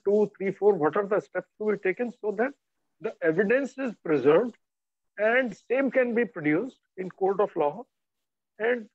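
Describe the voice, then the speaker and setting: A man with a bad cough and cold, giving a halting webinar introduction with many filler pauses. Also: high-pitched, no room sound. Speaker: cyber forensics instructor. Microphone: video call. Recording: dull lo-fi video call audio.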